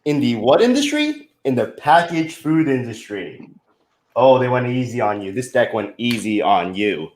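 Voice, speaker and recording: An American man hosting a party pitch game on a video call, teasing and mock-stern with his questions. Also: very animated, normal speed, a little mellow, a little hiss or hum. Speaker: game host.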